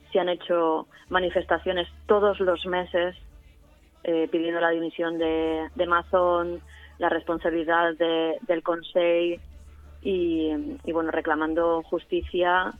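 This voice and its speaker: A woman with an accent from Spain speaks as a guest over a dry, narrow phone line. Her delivery is very even in pitch, in a conversational interview style. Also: takes it slowly, no room sound. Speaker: radio interview guest, community radio contributor. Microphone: phone call. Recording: thin dry phone line with music behind.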